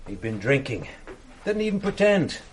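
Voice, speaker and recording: A man's voice: medium pitch, very animated, moderate pace, slight background noise, lightly acted. An actor playing a tired, good-natured male detective sergeant in a radio drama, talking in an easygoing, matter-of-fact way.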